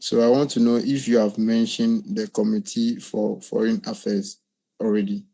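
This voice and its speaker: A man's voice: fairly low pitch, little pitch movement, normal speed, creaky, mellow, rough recording. A man politely and formally addressing the chair as a parliament member on a video call. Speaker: parliament member raising a question.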